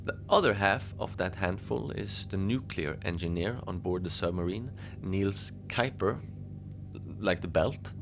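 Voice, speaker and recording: A man playing an easygoing, whimsical lone Antarctic station manager, rambling in a conversational, deadpan audio-fiction monologue. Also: low voice, a little slow, creaky, background noise. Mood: deadpan, confiding. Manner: musing, conversational, deadpan about absurd things. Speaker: fiction podcast narrator, lone facility manager at a polar station. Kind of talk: first-person audio fiction monologue, rambling radio log.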